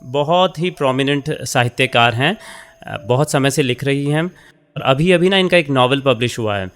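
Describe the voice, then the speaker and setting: Radio play role: male actor as warm, talkative senior editor in middle age, conversational and encouraging, faint music underneath. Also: mid-range voice, normal speed, natural voice. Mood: welcoming. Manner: encouraging, conversational, mixes in English phrases. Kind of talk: radio drama acting in Hindi with English phrases.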